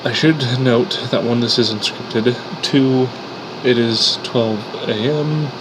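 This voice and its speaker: A man's voice: medium pitch, steady tone, slow pace, noisy background. A man who is sick and congested, explaining his 3D weapon models in a casual, unscripted way with fillers and restarts.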